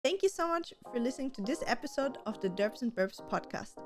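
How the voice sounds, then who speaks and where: A woman's voice: fairly high pitch, expressive, brisk, faint music behind. A woman co-hosting a casual podcast, chatty and giving advice, with a slight stutter and many restarts. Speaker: podcast co-host.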